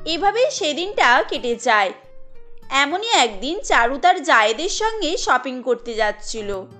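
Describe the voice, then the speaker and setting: A woman's voice: sits high, very animated, measured pace, pushed and bright, faint music behind. A woman narrating a cartoon family tale in a scripted storybook style.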